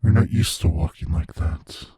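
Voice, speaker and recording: A man voicing a playful, sadistic monster who taunts his prey in an ASMR roleplay, often slipping into breathy whispers. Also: on the low side, normal speed, dark tone, no echo, clean studio sound. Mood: amused taunting.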